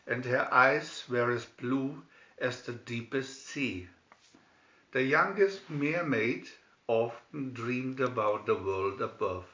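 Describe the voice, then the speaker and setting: A man narrates a children's fairy tale at a slow, measured pace. His voice sits at a middle pitch, and the recording is roomy and somewhat dull. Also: fairly bright tone. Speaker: storybook reader.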